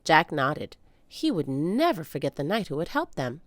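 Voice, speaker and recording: Expressive woman storyteller, slightly low voice. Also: moderate pace, dry recording. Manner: animated, dramatic emphasis. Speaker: children's book reader. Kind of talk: expressive read-aloud storytelling.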